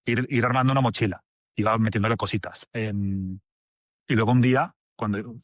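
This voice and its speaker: A man's voice: on the low side, steady tone, normal speed, no echo, studio quality. A man in his forties from Venezuela with a Venezuelan accent, a guest speaking casually with lots of fillers and restarts. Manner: rambling, many fillers and restarts. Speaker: agency director, guest. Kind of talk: casual conversational interview.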